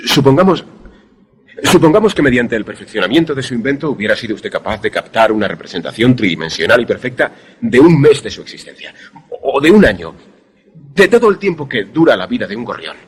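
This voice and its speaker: An actor playing a deferential former student who narrates a suspense radio drama in formal, measured, literary language with a husky voice. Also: male voice, medium pitch, very animated. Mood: awed, eager.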